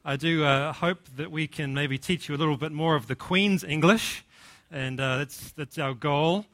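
A middle-aged man from New Zealand with a New Zealand accent softened by American years, preaching as a visiting pastor, friendly and self-deprecating with frequent "uh" fillers. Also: fairly high pitch, normal speed, no echo. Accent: New Zealand, slightly Americanized. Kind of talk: sermon. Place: church sanctuary.

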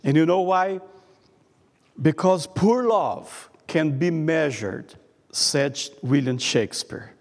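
Brazilian guy preaching, slow careful delivery, big echoey church hall. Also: a little high. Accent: Brazilian Portuguese. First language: Portuguese. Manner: careful, halting delivery, reading from notes. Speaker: guest preacher. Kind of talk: sermon. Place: church sanctuary. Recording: long reverb of a church hall.

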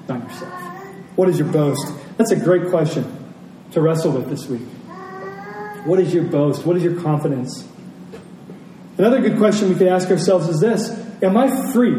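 A man's voice: fairly high pitch, mellow. An American man giving a conversational sermon as a pastor, speaking reflectively and repeating phrases for emphasis. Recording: roomy, distant mic, faint noise.

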